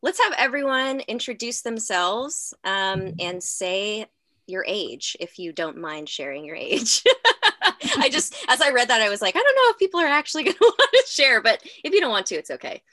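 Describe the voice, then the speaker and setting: A woman's voice: a little high, sing-song, moderate pace, bright, forceful tone, no echo, clean studio sound. A woman in her thirties co-hosting a podcast in casual chat over a video call, enthusiastic and giggly. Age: 30s. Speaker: podcast co-host.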